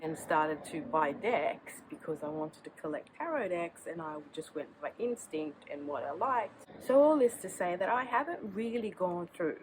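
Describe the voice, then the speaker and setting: A woman's voice: lowish voice, animated, moderate pace, few pauses, background noise. A woman with a sore throat and a cold, chatting casually to camera outdoors as the host of her tarot channel, rambling and restarting sentences. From Australia.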